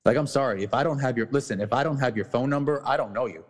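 A man co-hosting a casual podcast, talking bluntly with many restarts and swearing, his voice going hoarse. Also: medium pitch, fast talker, in a large hall. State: losing his voice. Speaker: podcast co-host.

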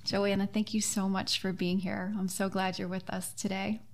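A woman's voice: mid-range voice, flat delivery, moderate pace. A woman hosting a podcast in a conversational style, speaking earnestly and effusively. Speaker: podcast host and author.

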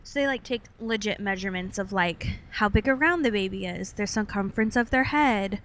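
A woman's voice: medium pitch, a little fast. A young American woman co-hosting a podcast, telling personal stories in an informal, chatty way with playful wording. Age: young adult. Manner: informal, chatty, uses playful made-up words. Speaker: podcast co-host. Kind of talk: casual chat, personal storytelling.

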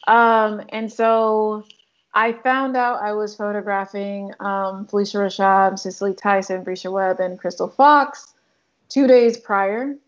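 A woman's voice: medium pitch, steady tone, unhurried, lo-fi sound. A woman from Congo, a photographer talking casually in an interview, with frequent likes and ums. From Democratic Republic of Congo. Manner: chatty, lots of likes and ums. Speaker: photographer and filmmaker guest.

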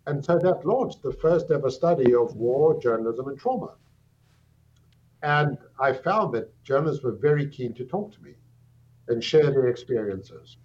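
South African man, measured and thoughtful. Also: mid-range voice, lively intonation, a little mellow. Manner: measured, thoughtful, with frequent ums and restarts. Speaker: guest, psychiatrist. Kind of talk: interview answer, recounting background. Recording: dull lo-fi audio with faint hum.

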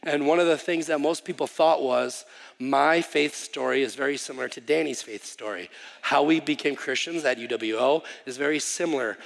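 A man's voice: medium pitch, moderate pace, gravelly. A man from Wisconsin in his thirties, a missionary guest preacher giving a chatty, self-deprecating sermon introduction with frequent ums and restarts. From Wisconsin, USA. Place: church sanctuary. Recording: live church hall reverb.

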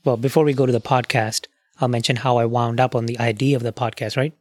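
A man's voice: mid-range voice, fairly even intonation, fast talker, dry recording, clean studio sound. A man hosting a podcast, chatting casually and explaining things with asides and fillers. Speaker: podcast host.

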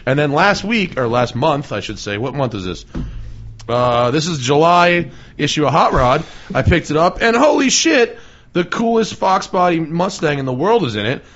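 A man's voice: on the high side, sing-song, normal speed, fairly bright tone, muffled recording. A man hosting a casual podcast chat, joking and swearing as he rambles. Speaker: podcast host.

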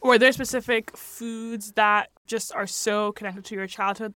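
A woman's voice: mid-range voice, fairly even intonation, unhurried, fairly bright tone, no echo. A woman hosting a food podcast, reading an ad and asking upbeat interview questions. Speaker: podcast host. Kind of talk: interview and read ad. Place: recording studio.

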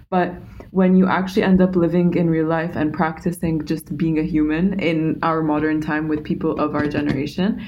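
A woman's voice: low voice, a little mellow, a little hiss or hum, rough recording. A young woman in a casual podcast chat, thinking aloud reflectively with many fillers.

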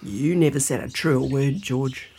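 An actress playing a stubborn, gullible older grandmother in a comic audio drama, husky-voiced and full of indignant questions. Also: a bass voice, unhurried, noisy background. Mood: sceptical, indignant.

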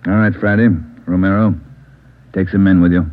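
A man's voice: sits low, flat delivery, moderate pace, very noisy, close to an everyday voice. A man acting a firm police superior questioning a suspect in an old radio drama. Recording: tinny old radio recording with clicks.

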